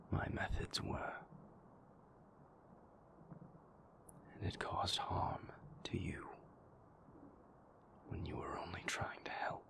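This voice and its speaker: A man playing a brooding, remorseful vampire boyfriend in an intimate audio roleplay, with a breathy, gravelly near-whisper and halting, formal, confessional phrasing. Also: sits low, fairly bright tone, full character voice. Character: brooding, remorseful male vampire boyfriend, former royal enforcer.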